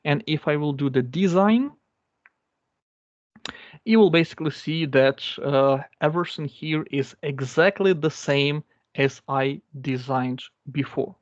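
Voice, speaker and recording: A man's voice: a little high, measured pace, no echo. A man walking through a database demo in English, which is not his first language, talking in a loose, explanatory way with lots of fillers over a computer microphone. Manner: explanatory, repeats phrases, many fillers. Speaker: guest speaker. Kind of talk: live coding demo. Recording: dull lo-fi dry sound.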